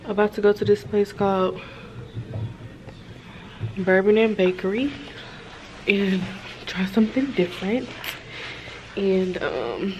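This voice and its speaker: Slangy young American woman over loud noise. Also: slow, pauses often, dull audio. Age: 20s. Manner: informal, slangy, profane. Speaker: vlogger. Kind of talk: casual chat to camera. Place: mostly inside a parked or moving car at night. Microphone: camera at arm's length. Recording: noisy handheld camera audio, car rumble.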